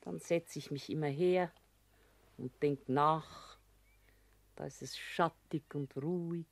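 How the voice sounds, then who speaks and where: Bavarian widow, lowish voice, slow, homely, plain-spoken, radio drama. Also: female voice, a little mellow, no echo, heavily acted.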